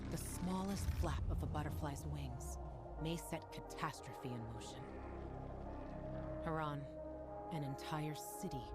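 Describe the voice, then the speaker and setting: An actress playing a solemn narrator of a post-apocalyptic world, speaking in a grave, measured, dramatic way for a video game. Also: female voice, low-pitched. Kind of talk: scripted cinematic narration.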